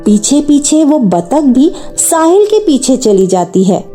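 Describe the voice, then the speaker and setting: A woman's voice: high-pitched, very animated, slow, mellow, faint music behind. A woman narrating a scripted children's story.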